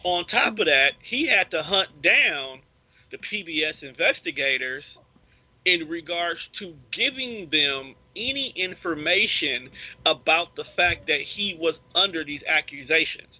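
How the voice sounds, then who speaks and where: An American man with a husky voice hosting an informal radio talk show, searching for his words as he goes. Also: a little high, animated, unhurried, dry recording. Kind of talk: casual topical talk. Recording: narrow tinny phone-like line.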